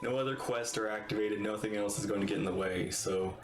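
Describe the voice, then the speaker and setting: A man streaming a video game, chatting casually and rambling excitedly as he reacts. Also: on the low side, fairly quick, background noise. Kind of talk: casual livestream commentary. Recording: roomy room sound with game music.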